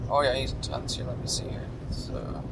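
A man's voice: mid-range voice, flat delivery, fairly quick, creaky. A man bantering casually with a friend in a moving car, joking and rambling with lots of fillers. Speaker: co-host. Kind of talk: casual chat. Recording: noisy car with road noise.

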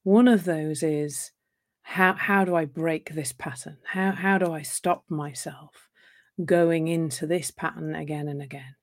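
A woman talks gently and thoughtfully in a live session, her low-pitched voice slightly croaky from a sore throat. She often restarts her phrases as she reflects out loud. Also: steady tone, a little slow. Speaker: coach. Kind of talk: live talk on relationships.

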